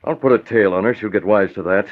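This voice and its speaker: Male actor as calculating masked crimefighter, low-pitched, assured, radio play, dull recording. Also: fast, lightly acted. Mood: confident, decisive. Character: confident, calculating male publisher and masked vigilante. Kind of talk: old-time radio drama acting. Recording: muffled, faintly noisy old radio recording.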